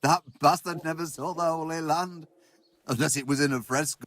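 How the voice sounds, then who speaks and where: A man's voice: on the high side, steady tone, normal speed, background noise, close to an everyday voice. An actor playing a blunt, cynical townsman in a medieval video game, with a mocking, patronising way of talking.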